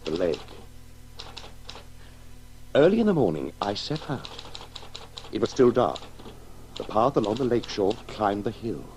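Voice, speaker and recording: A man acting a brooding, halting writer in a radio play, narrating his own story with intensity. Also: fairly low pitch, big pitch swings, a little mellow, slight background noise, poor audio. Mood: musing. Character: brooding, wonder-struck male writer telling his story.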